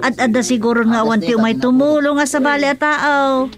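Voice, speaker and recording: Woman playing a high-voiced, coaxing village auntie. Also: little pitch movement, brisk, crowd noise, close to an everyday voice. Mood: chatty. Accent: Filipino, Ilocano. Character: talkative rural village aunt, teasing and coaxing. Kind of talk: radio comedy drama acting.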